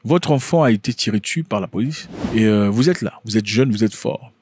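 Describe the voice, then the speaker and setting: A man's voice: lowish voice, lively intonation, a little fast, darkish tone, a little hiss or hum. A man whose first language is not French, commenting on a news video in a casual style, asking many rhetorical questions and often restarting his words.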